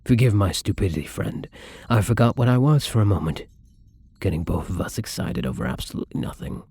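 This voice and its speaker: A lonely vampire acted out by a man speaks in a very breathy, husky voice with a gravelly creak. His delivery is soft, halting and wistful, pitched as intimate, tender ASMR roleplay. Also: big pitch swings, no echo, heavily acted. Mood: sad, resigned. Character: lonely, gentle, wistful male vampire captive in castle.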